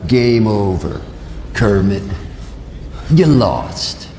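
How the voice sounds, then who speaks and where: An actor playing a gloating, cold tycoon villain with a smug, menacing manner in a children's storybook. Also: male voice, on the low side, very animated, very slow, warm tone, in a large hall, a little hiss or hum, exaggerated voice.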